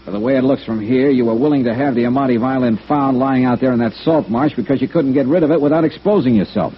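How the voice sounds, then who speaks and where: An actor playing a wry, tough American insurance investigator in 1950s radio noir, questioning and narrating in clipped lines. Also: male voice, mid-range voice, quick pace, a little hiss or hum, close to an everyday voice. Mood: accusing. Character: hard-nosed, wry freelance insurance investigator. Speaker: lead actor of a detective series. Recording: dull old radio recording.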